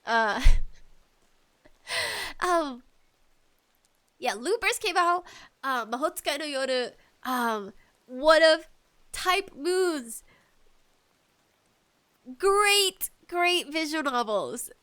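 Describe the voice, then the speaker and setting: High-voiced, slow-paced woman podcaster. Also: big pitch swings, a bit bright. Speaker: podcast host. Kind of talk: casual solo podcast chat about games.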